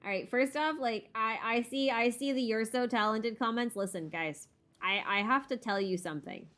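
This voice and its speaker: A woman's voice: on the high side, moderate pace, fairly bright tone. Playful rambling woman streamer over music. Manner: playful, self-deprecating, often drifts into muttering asides. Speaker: streamer hosting a live Q&A. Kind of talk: casual rambling livestream chat while gaming.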